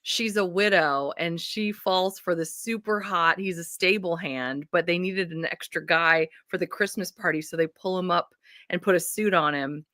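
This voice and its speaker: A woman co-hosting a casual book readalong, speaking in a gushing, effusive way and quick to laugh. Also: on the low side, bright, forceful tone, no room sound, clean studio sound.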